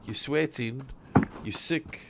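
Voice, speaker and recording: A man's voice: medium pitch, takes it slowly, vocal fry. A man from South Africa with a South African accent, chatting casually and crudely to his live-stream audience while gaming. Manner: rambling and crude, swears freely. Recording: dull lo-fi with background noise.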